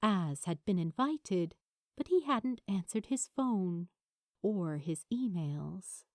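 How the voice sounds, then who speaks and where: A woman reading a story aloud as an expressive audiobook narrator, with dramatic, weighted pauses. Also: lowish voice, dry recording, studio quality. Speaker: fan fiction narrator.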